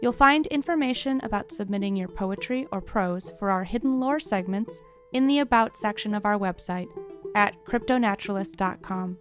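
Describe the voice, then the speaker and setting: A woman delivers scripted podcast credits as an announcer. Her pitch is middling, and plucked guitar music plays clearly behind her. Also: normal speed.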